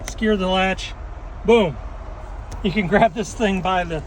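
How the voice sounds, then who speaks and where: A man's voice: high-pitched, unhurried, slight background noise. A man giving a casual, folksy how-to demonstration as a trucker, rambling a little as he explains, recorded on a handheld cell phone. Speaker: trucker demonstrating his CB radio setup.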